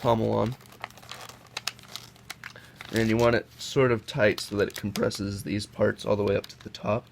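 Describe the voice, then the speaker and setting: Young man, sick and sniffly, low gravelly voice, casual rambling chat, noisy home mic. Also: level tone, moderate pace, pauses often. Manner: chatty, rambling with self-corrections. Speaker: toy reviewer. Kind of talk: casual hands-on product comparison. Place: indoors at a table. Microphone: camera mic, off-screen above the table.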